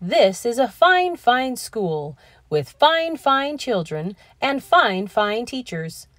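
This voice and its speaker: A woman's voice: on the high side, very animated, a bit bright, dry recording, voice pushed up, full character voice. A woman voicing a proud, exuberant male school principal from a children's storybook, effusive and emphatic. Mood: proud, delighted. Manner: emphatic, effusive, drawn-out stresses. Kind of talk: children's storybook character reading.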